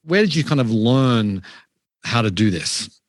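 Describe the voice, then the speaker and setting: A man's voice: mid-range voice, expressive, unhurried, no echo, clean studio sound. A man hosting a podcast, reading an upbeat introduction and then asking interview questions. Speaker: podcast host.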